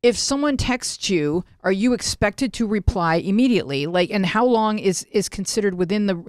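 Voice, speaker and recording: Middle-aged woman, podcast host, casual chat, medium pitch, dry studio sound. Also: expressive, normal speed, a little mellow, dry recording. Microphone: close studio microphone on arm.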